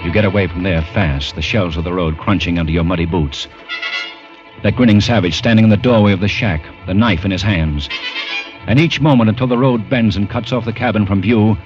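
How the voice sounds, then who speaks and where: A man giving tense, building second-person narration in an old radio drama. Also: fairly low pitch. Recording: muffled old radio broadcast with music.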